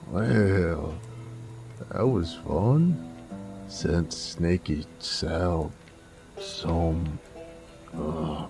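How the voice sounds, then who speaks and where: A man's voice: low-pitched, full character voice. A man playing a flirtatious, roguish werewolf bounty hunter in a Western audio roleplay, with a teasing, theatrical Southern American drawl. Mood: amused, flirtatious.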